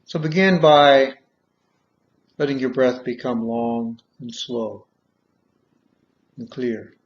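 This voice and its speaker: A man guiding a meditation in measured short phrases with long pauses, on a dull, muffled recording. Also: mid-range voice. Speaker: guided meditation leader. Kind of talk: guided meditation, slow soothing instruction.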